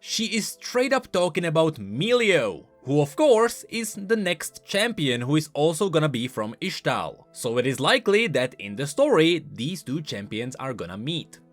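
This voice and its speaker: A man's voice: a little high, sing-song, normal speed, few pauses, faint music behind. A man giving scripted commentary on video game lore and voice lines in an emphatic, explanatory way.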